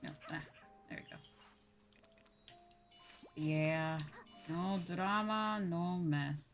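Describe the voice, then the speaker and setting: A woman's voice: on the low side, very slow, faint music behind. A woman livestreamer chatting casually and informally, thinking aloud as she goes. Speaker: livestreamer and VTuber host.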